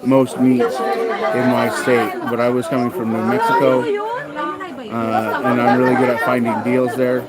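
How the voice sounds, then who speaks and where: A man in his thirties chatting casually as a vlogger, unhurried and hesitant with lots of ums and uhs. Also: medium pitch, sing-song. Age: 30s. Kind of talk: casual chat about living costs abroad. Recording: loud crowd chatter in a large hall.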